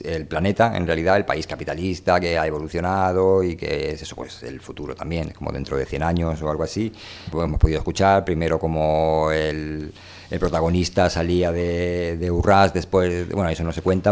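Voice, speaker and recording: A man hosting a radio show, talking casually about books in a halting, informal, rambling way. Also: deep voice, darkish tone, clear, resonant tone. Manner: halting, rambling, informal, trailing into filler tags. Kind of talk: casual book discussion.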